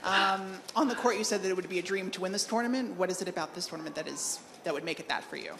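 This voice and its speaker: Quick-talking woman with a lowish voice. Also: fairly bright tone, a little hiss or hum. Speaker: journalist asking questions. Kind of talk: informal press conference questions. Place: press conference room indoors.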